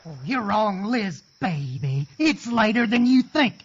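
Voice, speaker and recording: An actor playing a hapless, lovesick, henpecked rural grocer with a Southern US accent in a campy radio parody. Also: male voice, high voice, sing-song, unhurried, noisy background. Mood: bitter, threatening. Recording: dull lo-fi, music underneath.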